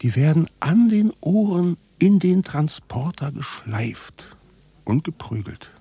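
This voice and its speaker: Husky, bitter calf farmer. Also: male voice, on the high side, very animated, slow pace, dark tone, dry recording, full character voice. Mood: bitter, indignant. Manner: blunt, sardonic, evasive, coarse. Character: bitter, evasive male contract calf fattener who knows the trade. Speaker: contract livestock farmer. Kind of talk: naturalistic radio drama dialogue.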